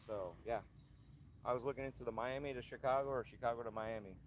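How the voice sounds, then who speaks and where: A man with a cold-hoarse voice, hosting a casual outdoor live stream and reading out viewer comments. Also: mid-range voice, fast, a little hiss or hum. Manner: rambling, chatty, reads out viewer comments. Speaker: channel host. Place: outdoors at a haunted trail attraction. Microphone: handheld camera rig.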